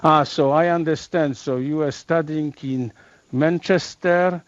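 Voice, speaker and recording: Male interviewer, mid pitch, terse broken-English questions, dry muffled sound. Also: unhurried, no room sound. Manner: non-native English, short prompting questions. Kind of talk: live webcast interview.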